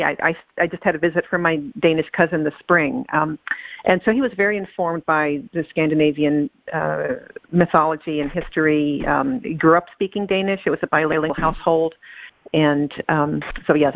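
A woman chatting thoughtfully about books as a guest, pausing often with ums. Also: low-pitched, dry recording. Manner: thoughtful, hesitant with many ums. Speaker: guest, author's daughter. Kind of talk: casual book discussion. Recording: dry tinny phone line.

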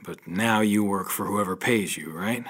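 An actor playing a tough, wry former convict with a decent streak, dry and hard-boiled, in a pulp audio drama. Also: male voice, lowish voice, a little slow, a bit bright. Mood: wry, weary.

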